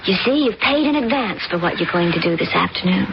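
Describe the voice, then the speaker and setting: An actress playing a cool, cutting young heiress pushing a scheme in an old radio drama. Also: female voice, lowish voice, lively intonation, quick pace, vocal fry. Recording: dull old radio recording, loud hiss.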